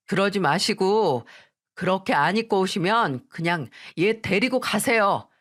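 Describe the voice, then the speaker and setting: Cold dismissive husband as a woman puts him on, curt, irritated, lively pitch.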